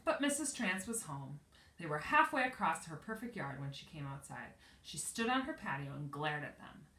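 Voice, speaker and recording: A woman teacher reading a children's storybook aloud expressively, pausing to explain the pictures. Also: deep voice, big pitch swings, sharp tone. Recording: echoey room, distant from mic.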